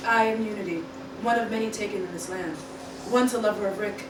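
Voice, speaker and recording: A woman playing a calm alien hive-mind being who speaks formally in an amateur radio play. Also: mid-range voice, a little fast, close to an everyday voice. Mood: calm, composed. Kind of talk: amateur Shakespeare-parody radio play acting. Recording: roomy, distant, clearly noisy room recording.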